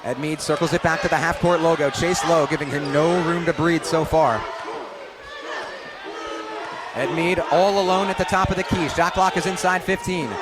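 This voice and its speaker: A young man doing animated live radio basketball play-by-play, vividly calling the action and raising his voice on big plays. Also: fairly high pitch, a little fast, hardly any pauses. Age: young adult. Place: courtside in a noisy basketball arena. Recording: loud arena crowd and bouncing ball. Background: crowd noise, basketball bounces.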